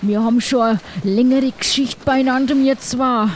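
High-pitched, chatty southern German pirate woman. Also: fairly even intonation, moderate pace, a little hiss or hum, lightly acted, poor audio. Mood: chummy. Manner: folksy, chatty. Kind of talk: improvised role-play.

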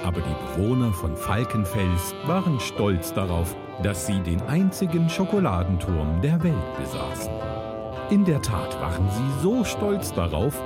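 Animated storybook narrator over loud music. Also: mid-range voice. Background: loud guitar music.

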